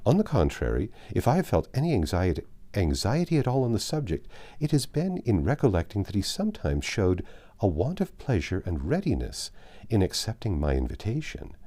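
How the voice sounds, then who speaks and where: A man voicing a gently teasing mother of forty in a period novel, with formal, genteel speech. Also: on the low side, huge pitch swings, fairly quick, pitched above the performer's own voice. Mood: measured, reflective.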